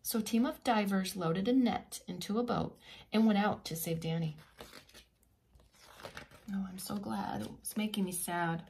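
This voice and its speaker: A woman preschool teacher reading a children's picture book aloud in an expressive, teacherly way with simple asides. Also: mid-range voice, a little slow, creaky, pauses often, slight background noise.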